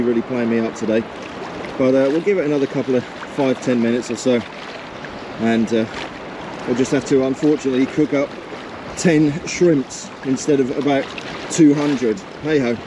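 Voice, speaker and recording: A man in his forties with a Suffolk English accent, chatting casually to an action camera outdoors on a beach, rambling and self-deprecating. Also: medium pitch. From England. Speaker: outdoor vlogger. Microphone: action camera at arm's length. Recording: wind noise on the mic.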